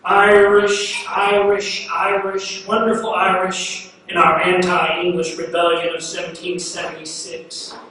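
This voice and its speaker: A man reciting spoken-word poetry in a declamatory, incantatory manner, leaning on insistent repetition and emphatic pauses. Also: high-pitched, monotone, sharp tone. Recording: very echoey hall, distant mic, faint noise.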